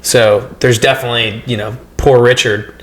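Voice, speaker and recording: Young male co-host, mid-pitched, rambly jokey delivery. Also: steady tone, moderate pace. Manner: rambling, joking asides, many "like" fillers. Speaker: podcast co-host. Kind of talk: casual comedy podcast chat.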